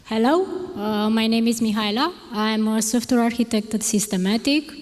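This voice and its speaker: A woman, a software architect, introducing herself on a conference panel in a measured, hesitant way. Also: a little high. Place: large conference auditorium. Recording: long hall reverb.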